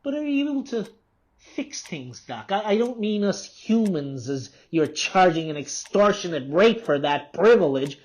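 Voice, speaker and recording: A woman's voice: fairly low pitch, big pitch swings, unhurried, a little mellow. A woman playing an unhinged, sarcastic male author in a radio play, taunting and erratic, swinging from muttering to outbursts.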